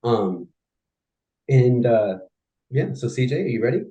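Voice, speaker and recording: A man hosting a live-streamed museum studio program, speaking loosely and haltingly with many fillers. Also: medium pitch, lively intonation, dark tone. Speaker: studio programs manager, host. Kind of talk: casual live-stream introduction.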